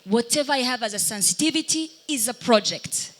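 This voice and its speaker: Woman speaking with halting reflection. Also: a little high, animated, fairly bright tone. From Burundi. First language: Kirundi. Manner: halting and reflective, pausing to search for words. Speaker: dancer and panelist. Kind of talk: live panel discussion answering audience questions.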